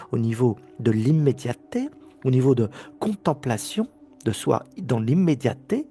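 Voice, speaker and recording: Male spiritual lecturer, slightly husky from a cold; lively, swooping delivery, emphatic, often restarts his phrases. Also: mid-range voice. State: has a head cold. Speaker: spiritual teacher. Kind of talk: recorded video lecture.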